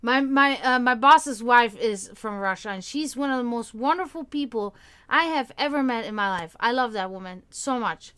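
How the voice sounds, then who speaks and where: Tired Serbian-accented woman, smooth voice. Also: on the high side, bright, forceful tone. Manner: rambling, halting, with many restarts and fillers. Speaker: livestream host. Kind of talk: casual chat with stream viewers.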